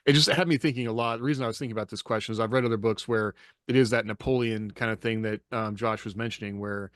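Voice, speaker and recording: A man's voice: fairly low pitch, steady tone, fast talker, clear, resonant tone, dry recording, studio quality. A man co-hosting a podcast, thinking aloud reflectively in casual panel discussion. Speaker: podcast co-host.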